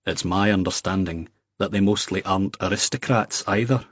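Male narrator as a guarded young Scottish man, low and husky, blunt, careful questions, dry close sound. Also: moderate pace, no echo. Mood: wary probing. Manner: careful, dry, politely probing.

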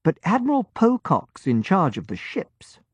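A man's voice: sits high, wildly swooping pitch, unhurried, husky, no echo, voice pushed up, full character voice. A man reading an audiobook and voicing a cheerful, eager young male naval lieutenant who chats in a confiding way. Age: late teens. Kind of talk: audiobook character voice. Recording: dull, muffled audiobook recording.

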